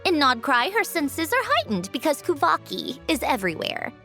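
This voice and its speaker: A woman voicing a guarded, self-assured female schemer who speaks in a measured, dry, calm way. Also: sits high, heavily acted. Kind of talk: video game voice acting. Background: game music.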